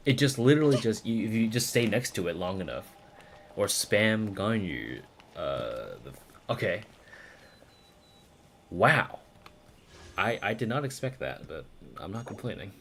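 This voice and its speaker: A man's voice: fairly low pitch, animated, unhurried, long pauses, a little hiss or hum. A man giving casual live commentary while he plays a video game. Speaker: game streamer. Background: loud video game music and sounds behind the voice.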